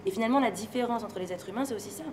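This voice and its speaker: A woman from France being interviewed, speaking in a relaxed, thoughtful and hesitant way and laughing easily. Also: fairly high pitch, racing. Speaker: interviewee, singer and stage performer. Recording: noisy location recording with ambient sound.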